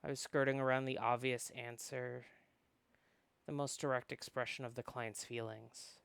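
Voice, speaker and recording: Man reading a story aloud, creaky voice. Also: mid-range voice, flat delivery, normal speed, a bit bright, no echo. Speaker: host reading a visual novel aloud. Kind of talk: dramatic read-aloud narration.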